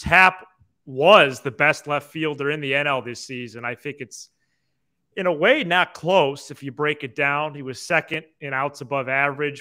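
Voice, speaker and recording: A young man hosts a baseball podcast with a bright, forward voice pitched a little high. He lays out his analysis casually, point by point, close to a desk microphone. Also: clear, resonant tone. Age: young adult. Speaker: sports podcast host. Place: small indoor room, video call.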